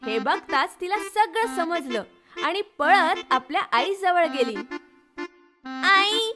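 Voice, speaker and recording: A woman's voice: very high voice, lively intonation, measured pace, music in the background. A woman reading scripted children's story narration.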